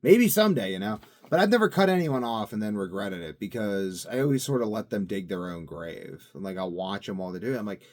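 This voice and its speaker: A man with a somewhat low voice that swings widely up and down as he talks. He rambles casually to camera in his own daily video, musing aloud, drifting between thoughts and leaning on fillers. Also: lively intonation, brisk, few pauses. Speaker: vlogger.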